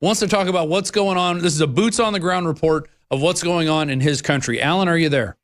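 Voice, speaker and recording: A man hosts a call-in radio show in a voice a little higher than most men's. He sounds brisk, upbeat and conversational, keeping things moving as he brings callers on and answers them. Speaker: radio talk show host.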